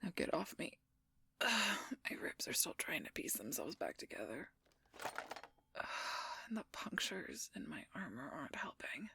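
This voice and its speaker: A woman playing a wounded, protective but gruff female werewolf knight in an intimate audio roleplay, husky and halting, often dropping into a whisper. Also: sits low, very animated, pushed and bright, heavily acted. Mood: pained, gruff. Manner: halting, strained delivery with sighs.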